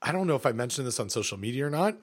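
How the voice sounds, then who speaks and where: Rambling, halting man on a podcast. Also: mid-range voice, sing-song, slightly rough. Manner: halting, many fillers and restarts. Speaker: podcast co-host. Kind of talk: casual podcast chat.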